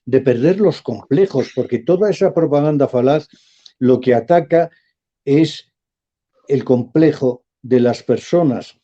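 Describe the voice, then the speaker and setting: Dark-voiced lecturing professor. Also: male voice, medium pitch, lo-fi sound. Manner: measured, lecturing, emphatic. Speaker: professor, guest. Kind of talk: talk radio interview.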